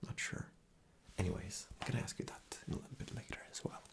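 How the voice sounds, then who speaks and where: A man playing an arrogant, rude boss in a whispered ASMR interview roleplay, breathy, condescending and dismissive. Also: fast talker, lightly acted. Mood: impatient, condescending.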